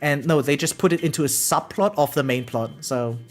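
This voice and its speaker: A woman's voice: deep voice, a little fast. A woman game streamer chatting casually and opinionatedly on a live stream, swearing freely and often checking in with her listeners. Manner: animated, opinionated venting with rhetorical check-ins.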